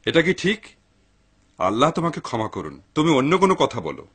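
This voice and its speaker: A man playing a cautious, pious father in a dubbed cartoon, grave, measured and admonishing. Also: fairly high pitch, wildly swooping pitch, a bit bright, breathy edge, exaggerated voice. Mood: worried, firm.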